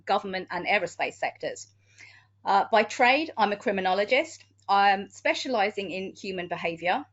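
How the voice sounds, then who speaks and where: A woman's voice: mid-range voice, fairly bright tone, dull audio. A woman in her fifties from the United Kingdom, speaking as a security manager on a webinar panel with a wry, conversational manner. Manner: wry, conversational, light humour.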